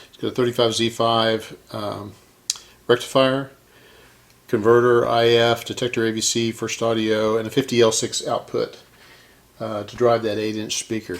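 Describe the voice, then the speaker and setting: A man with a gravelly voice casually narrating his hands-on repair work as host, musing and thinking aloud as he goes. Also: mid-range voice. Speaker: host of a vintage radio repair video.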